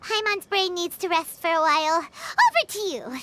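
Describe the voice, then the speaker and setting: A woman's voice: extremely high pitch, very animated, moderate pace, heavy background noise. A woman voicing a tiny, childlike, bubbly fairy companion with a cutesy manner in an English anime game dub. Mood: hesitant, flustered. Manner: cutesy, refers to herself in third person.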